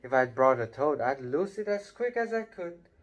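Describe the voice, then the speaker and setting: Man doing an excitable, blunt young wizard boy, animated, storybook. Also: medium pitch, normal speed, lightly acted. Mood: chatty.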